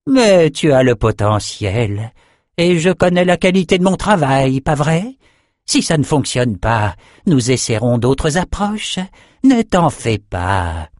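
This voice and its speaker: A man's voice: mid-range voice, big pitch swings, slow, darkish tone, no echo, clean studio sound, pitched above the performer's own voice. A man voicing a smug, menacing male sorcerer in a fantasy audiobook, theatrical and taunting.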